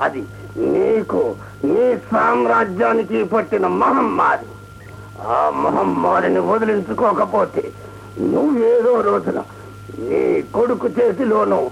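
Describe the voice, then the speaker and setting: Radio play casting: proud, devout old emperor, heavy creak in a fairly high voice, indignant delivery, music on the recording. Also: male voice, fairly bright tone, highs cut off, a little hiss or hum, heavily acted. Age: older. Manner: declamatory, indignant, with deliberate pauses.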